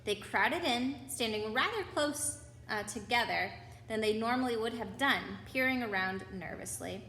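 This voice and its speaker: A woman with a fairly high voice reads a storybook aloud to children, chatting to them as she goes. The room is clearly echoey and there is some background noise. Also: animated, normal speed, sharp tone. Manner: chatty asides to young listeners.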